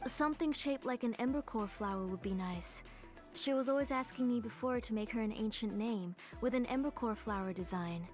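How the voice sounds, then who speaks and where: A woman voicing a caring, gentle mother in a video game, speaking in a motherly way. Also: on the high side, a little fast, faint music behind, close to an everyday voice. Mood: polite, gentle. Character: caring, gentle mother of a frail young girl.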